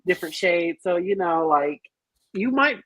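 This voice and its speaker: A woman's voice: fairly low pitch, animated, unhurried, fairly bright tone, dry recording, rough recording. A woman speaking casually and emphatically as a podcast panelist in a group review, explaining things in detail. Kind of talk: casual group chat, film and musical review.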